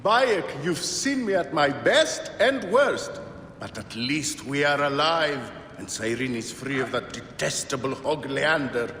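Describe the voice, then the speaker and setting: A man's voice: lively intonation, a little slow, bright, forceful tone. An actor playing a worldly, candid man of the ancient world in a video game, wry and theatrical. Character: worldly, candid male citizen of ancient Cyrene. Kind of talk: dramatic video game acting. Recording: echoey hall reverb, faint rumbling noise.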